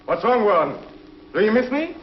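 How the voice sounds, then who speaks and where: A sneering escaped convict. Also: male voice, high voice, big pitch swings, normal speed, slight background noise. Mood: gloating. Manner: taunting, sneering. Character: escaped male convict, gloating ruthless villain. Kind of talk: 1950s TV drama acting. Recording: dull lo-fi 1950s TV soundtrack.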